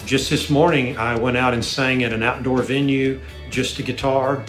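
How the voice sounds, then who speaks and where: Man, 60s, from Texas, mid-pitched, plain testimonial, soft music behind. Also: fairly even intonation, normal speed, reverberant. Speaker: singer and guitarist, course student.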